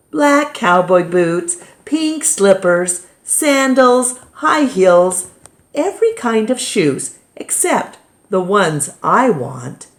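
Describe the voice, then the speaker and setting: Lady doing storytime, super slow, lots of pauses, voice goes up and down a lot. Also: mid-range voice. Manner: dramatic pauses and emphasis. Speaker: storytime reader. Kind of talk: expressive children's picture book read-aloud.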